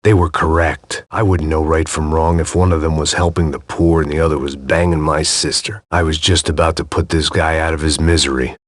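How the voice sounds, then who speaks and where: A world-weary middle-aged ex-cop narrates a noir video game in a bleak, sardonic deadpan. His voice sits extremely low and sounds husky and gravelly. It is recorded dry and close, with no room sound. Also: fairly quick, few pauses, no room sound. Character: world-weary, hard-drinking male ex-cop antihero.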